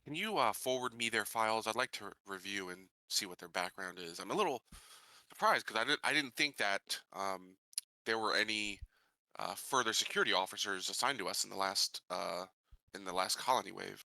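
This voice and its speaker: A man with a low but very bright, forward voice plays a tabletop roleplaying game. He asks questions in a casual, slightly halting way, recorded close and dry. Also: gravelly, no room sound, poor audio.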